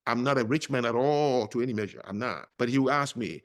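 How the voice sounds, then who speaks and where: A middle-aged man talks as a podcast guest. His delivery is lively and swings widely in pitch. He leans on words for emphasis, the way a storyteller does. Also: fairly quick. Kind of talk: conversational interview.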